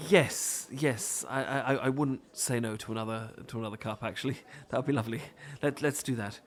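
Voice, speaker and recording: A man's voice: mid-range voice, moderate pace, long pauses, lightly acted. A man with a British accent playing a flustered, bashful, adorkable romantic who stammers and rambles apologetically in an intimate ASMR roleplay. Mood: shy, self-deprecating. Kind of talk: intimate ASMR roleplay acting, addressed to listener.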